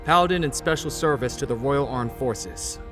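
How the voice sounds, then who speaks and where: A man's voice: medium pitch, normal speed, over music, lightly acted. An actor playing a dutiful fifteen-year-old boy soldier who speaks formally. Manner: formal, stating rank.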